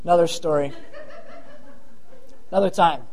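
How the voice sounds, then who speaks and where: A man's voice: fairly high pitch, normal speed, darkish tone. A middle-aged man with a thin voice giving a sermon as pastor, chatty and self-deprecating with rambling asides.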